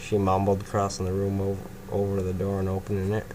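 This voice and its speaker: Male story reader, casual, low-pitched, clear background hiss. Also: monotone, fast talker. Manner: casual, breaks off to laugh and comment. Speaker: fanfiction reader. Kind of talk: first-person story reading.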